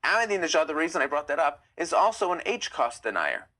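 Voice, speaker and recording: A young man hosting a live stream, chatting casually and reading viewer comments, opinionated and quick to get heated. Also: sing-song, bright, forceful tone. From United States. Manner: chatty, opinionated, gets heated and rises in pitch.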